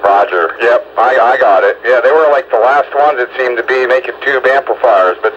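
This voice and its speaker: A man's voice: medium pitch, moderate pace, a bit bright. Muffled two-way radio, noisy signal, man, folksy casual talk, repeats himself, net operator. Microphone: radio microphone.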